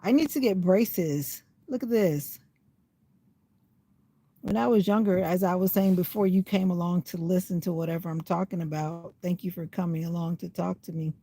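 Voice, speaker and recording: An older woman with a slightly raspy voice rambles casually on a livestream, sitting in her parked car while it rains. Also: on the low side, fairly even intonation, normal speed, mellow, no room sound. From USA. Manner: rambling, chatty, talking to herself. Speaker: vlogger. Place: inside a parked car in the rain.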